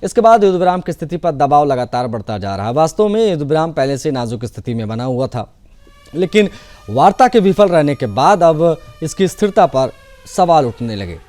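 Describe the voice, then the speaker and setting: A man's voice: a little high, sing-song, brisk, a little mellow. A man presenting scripted news as narration in a formal, emphatic manner.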